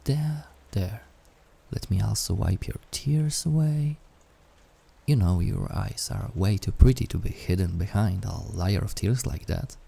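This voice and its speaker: A man playing an incubus demon in an intimate roleplay audio, with a husky, breathy voice that often drops toward a whisper. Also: lowish voice, warm tone, full character voice. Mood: flustered, apologetic. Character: incubus sleep paralysis demon, menacing turned awkwardly tender.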